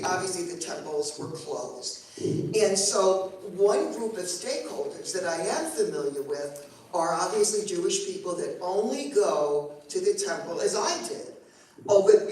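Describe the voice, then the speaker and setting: A woman with a somewhat low voice asks a long, winding question from the audience, piling up examples before she gets to her point. She is picked up from far off in a very echoey room. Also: darkish tone. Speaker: congregant asking a question. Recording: echoey room, mic far off, faint noise.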